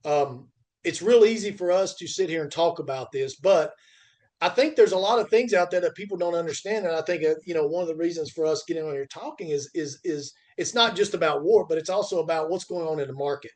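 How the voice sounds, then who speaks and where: Rambling middle-aged man, slightly high voice. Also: fairly quick, darkish tone. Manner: rambling, repetitive, circles back on phrases. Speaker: podcast host, freight industry veteran. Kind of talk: casual podcast chat.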